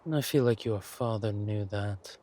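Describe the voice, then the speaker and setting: An actor playing a smug, menacing villain king in an intimate audio roleplay, speaking deliberately and theatrically to a beloved consort. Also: lowish voice, warm tone, lightly acted. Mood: smug, amused. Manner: slow, deliberate, theatrical, breathy asides.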